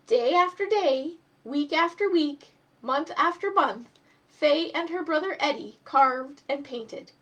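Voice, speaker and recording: Casting: female storytime host and reader, high voice, expressive delivery for young children. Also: slow pace, muffled recording. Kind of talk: live children's storytime reading.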